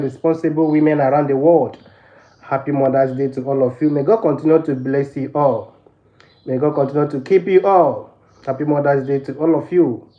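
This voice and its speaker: A man from Nigeria with a Nigerian accent hosting a casual livestream, addressing viewers and repeating his phrases insistently. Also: fairly high pitch. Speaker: live stream host. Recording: dull lo-fi live stream, background noise.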